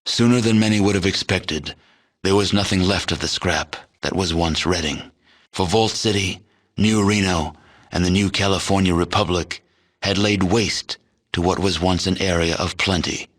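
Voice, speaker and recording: Game narrator, a grave, world-weary wasteland storyteller. Low-pitched, solemn, measured delivery for scripted epilogues. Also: male voice, fairly bright tone.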